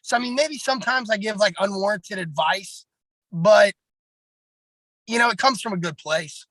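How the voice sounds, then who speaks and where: A man with a raspy voice, a pro wrestler talking bluntly and at length in a casual podcast chat, heavy on fillers. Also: high-pitched, moderate pace, pushed and bright, no room sound, rough recording.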